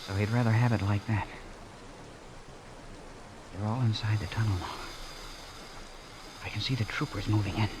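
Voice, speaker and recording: An actor playing an earnest, disillusioned young romantic hero in a theatrical, wistful old-time radio drama style. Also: male voice, lowish voice, steady tone, moderate pace, long pauses, noisy background, close to an everyday voice. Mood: tense. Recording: vintage radio broadcast with music underneath.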